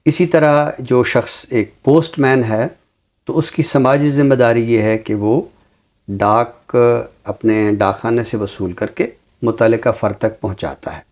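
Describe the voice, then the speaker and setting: A man with a Pakistani accent giving reflective commentary like a teacher, measured and explanatory, with deliberate pauses. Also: mid-range voice, steady tone, darkish tone. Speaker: teacher and programme guest. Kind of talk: reflective social commentary in an interview.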